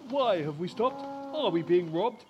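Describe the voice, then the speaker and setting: An actor playing a timid, fretful, polite parson in a period audio drama, flustered and pleading in a genteel way. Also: male voice, high-pitched, expressive, unhurried, dull audio, background noise. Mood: anxious.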